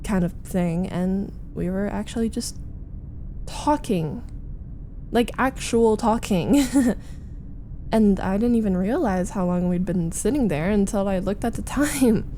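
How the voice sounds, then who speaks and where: A woman voicing a flustered, needy young roommate in a chatty, halting, defensive roleplay audio, confiding as she vents. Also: medium pitch, mellow, slight background noise, natural voice. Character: flustered, needy young female roommate venting about a date. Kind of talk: roleplay ASMR audio acting.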